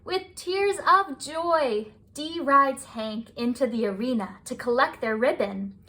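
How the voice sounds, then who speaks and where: Slow, high-voiced woman reading a children's story. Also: sharp tone. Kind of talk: scripted bedtime story narration for children.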